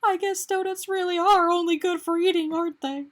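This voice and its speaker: A woman's voice: extremely high pitch, steady tone, brisk, pitched above the performer's own voice. A woman voicing a fussy, polite and kindly man in a picture-book story, speaking in a matter-of-fact way. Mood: regretful. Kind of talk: animated picture-book character reading.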